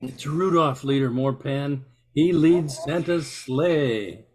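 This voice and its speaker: A man's voice: medium pitch, slow pace, slight background noise, natural voice. A man playing a welcoming captain in an amateur radio play, affable and a little formal. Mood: genial. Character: genial, welcoming male captain.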